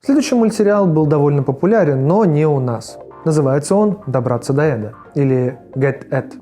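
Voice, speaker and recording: A man's voice: a little high, expressive, brisk, music in the background. A man giving scripted video narration as host, mixed with his own personal commentary on old cartoons.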